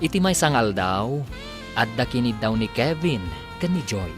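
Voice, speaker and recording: A male announcer with a lively, swinging delivery over clear music. He narrates a radio serial in a dramatic, scripted style. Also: mid-range voice, moderate pace. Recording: music behind the voice.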